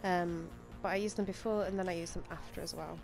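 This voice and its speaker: A woman's voice: lowish voice, fairly even intonation, quick pace, vocal fry, darkish tone. A young woman with a slightly stuffy voice from a cold, rambling casually through a makeup tutorial with plenty of ums and likes. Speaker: makeup vlogger. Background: faint music.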